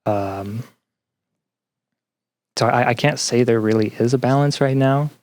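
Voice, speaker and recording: A young man answering as a podcast guest in an earnest, conversational way, haltingly repeating phrases as he thinks. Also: mid-range voice, a little mellow. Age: young adult. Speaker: podcast guest, student and teaching assistant.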